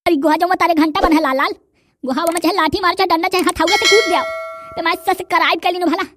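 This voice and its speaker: A woman voicing a brash, quick-tempered village character in a comedy cartoon, with a Bundeli accent. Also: very high-pitched, fairly even intonation, background noise.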